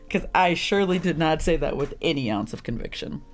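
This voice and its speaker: A woman with a deep, rough, slightly hoarse and congested voice, rambling through casual gameplay commentary and laughing at herself often. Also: lively intonation, moderate pace. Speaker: streamer playing a visual novel.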